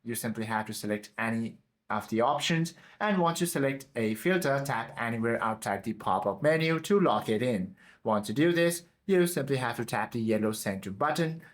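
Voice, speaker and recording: A man's voice: medium pitch, expressive, moderate pace. A man narrating a step-by-step app tutorial in an instructional manner. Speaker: tutorial presenter.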